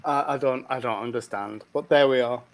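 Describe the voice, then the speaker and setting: A man's voice: medium pitch, brisk. A man co-hosting a livestream as a reverend, sounding nasal from a cold and talking in a hesitant, casual way. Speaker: reverend co-host. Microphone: video call.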